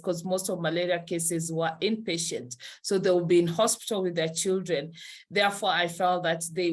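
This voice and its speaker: A woman with a Kenyan accent and a somewhat low voice answers interview questions as a scientist guest. She speaks earnestly, sometimes searching for words and restarting her sentences.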